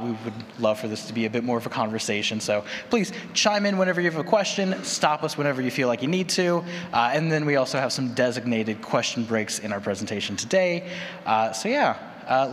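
A man hosts a conference panel in a voice of average pitch. The hall adds a long reverb around his words, and faint room noise sits underneath. He speaks as a presenter guiding a session. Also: sing-song, fairly quick. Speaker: panel host.

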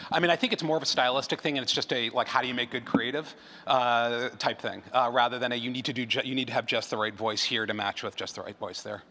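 A man's voice: mid-range voice, pushed and bright. A man answering questions as a panel speaker at a conference, often restarting and repeating his words. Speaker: panel speaker from an audio platform. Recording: hall reverb.

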